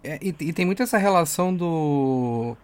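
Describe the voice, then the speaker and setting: A man with a slightly high voice talks in a measured, conversational way, asking and agreeing in an easy chat. His delivery stays steady and unhurried. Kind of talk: casual chat.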